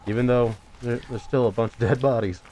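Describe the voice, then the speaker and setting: A man's voice: mid-range voice, fairly even intonation, fairly quick, mellow. A man doing casual let's play commentary, joking in an offhand, wry way. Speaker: gamer, let's play host. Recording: faint background noise, game ambience.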